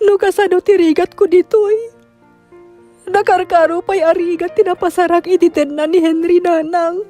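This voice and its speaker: A woman's voice: extremely high pitch, flat delivery, moderate pace, dark tone, faint music behind. An actress playing a distraught, long-suffering young mother and wronged wife in a radio drama, with a Filipino Ilocano accent. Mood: subdued, sorrowful. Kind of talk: emotional radio drama acting.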